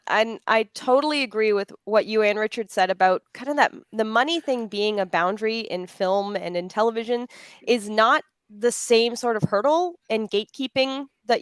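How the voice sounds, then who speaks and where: Female panel host. Crisp, resonant delivery in a dry acoustic, affirming and conversational. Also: on the high side, no room sound. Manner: affirming, encouraging. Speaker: panel moderator.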